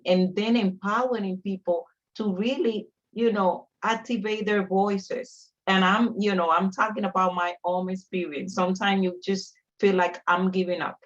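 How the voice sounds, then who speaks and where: A woman's voice: fairly low pitch, highs cut off. A woman from the Dominican Republic with a Dominican Spanish accent, a native Spanish speaker, making an emphatic, impassioned case as a community panelist. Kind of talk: panel discussion, impassioned advocacy.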